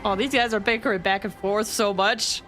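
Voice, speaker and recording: A woman's voice: mid-range voice, steady tone, normal speed, a bit bright, soft background music. A woman game streamer giving casual, slangy, exclamatory live reactions as she plays.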